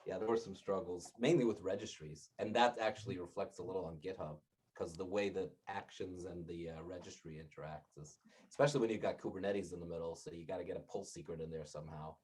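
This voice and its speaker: A man with a lowish voice talks casually in an online work meeting. He rambles, breaks off mid-thought and restarts, laughing now and then. He speaks through a laptop mic on a video call. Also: fairly even intonation, fast, a little mellow, slightly rough. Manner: rambling, self-interrupting, laughs often. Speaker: meeting participant.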